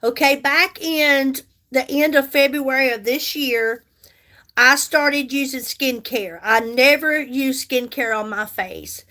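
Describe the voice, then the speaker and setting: Woman, 50s, livestream makeup host; high voice, unhurried, chatty, talks to viewers by name. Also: bright, forceful tone. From United States. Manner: chatty, reads and answers viewer comments, repeats herself for emphasis. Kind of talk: casual livestream chat while doing makeup.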